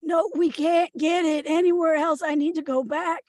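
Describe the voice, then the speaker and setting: A woman's voice: very high-pitched, steady tone, moderate pace, darkish tone. A woman playing an insistent, pleading man arguing his case in a table read. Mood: urgent, pleading.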